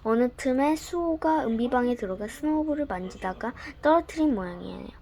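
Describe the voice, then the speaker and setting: Soft-spoken woman reading a bedtime story. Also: sits high, animated, brisk. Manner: gentle, soft-spoken, often near a whisper.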